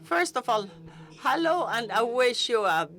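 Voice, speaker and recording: A woman interpreting an interview into English on a conference stage, halting, with frequent fillers and restarts. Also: fairly high pitch, big pitch swings, a bit bright. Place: conference hall.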